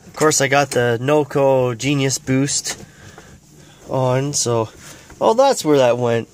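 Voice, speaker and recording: A man in his thirties with a hoarse voice from a cold, chatting casually with many fillers while filming in a garage and a yard. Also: medium pitch. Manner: halting, many fillers and restarts. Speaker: vlogger. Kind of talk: casual chat. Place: garage, then outdoors in a yard. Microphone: handheld action camera. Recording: loud engine noise. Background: idling van engine.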